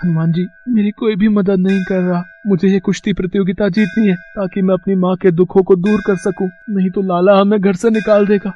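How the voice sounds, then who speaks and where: A man's voice: sits high, level tone, fairly quick, few pauses, mellow, soft background music, natural voice. A man voicing an earnest, devout young village lad in a cartoon moral story, speaking respectfully. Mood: pleading. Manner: pleading, respectful. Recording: dull, lo-fi sound.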